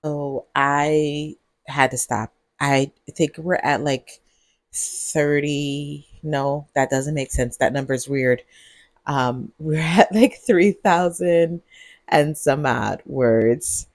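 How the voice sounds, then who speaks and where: A woman vlogger, groggy on cold medicine, talking to her viewers in a husky voice and rambling with giggles. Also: deep voice, takes it slowly, dry recording. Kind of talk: casual vlog monologue. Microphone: earbuds mic.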